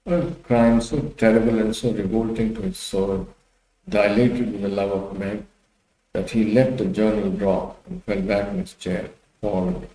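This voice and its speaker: Guy reading an old novel audiobook style, dramatic, lowish dark voice, roomy echo. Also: fairly even intonation, normal speed. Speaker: audiobook narrator. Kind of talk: dramatic literary narration.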